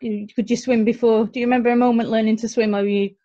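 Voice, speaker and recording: High-voiced woman host, muffled sound. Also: little pitch movement, fast, dark tone. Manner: friendly, laughs often. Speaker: podcast host. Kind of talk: casual interview chat.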